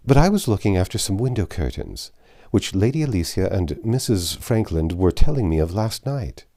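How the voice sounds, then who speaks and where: A man's voice: fairly low pitch, mellow, voice pushed up. A man voicing a genteel, composed older lady from a Regency novel, chatting politely in wordy, formal sentences.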